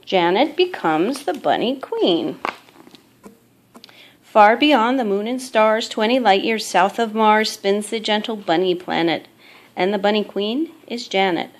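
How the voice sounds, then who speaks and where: A woman reading a children's picture book aloud as a mother would, in measured, expressive storytelling. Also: mid-range voice.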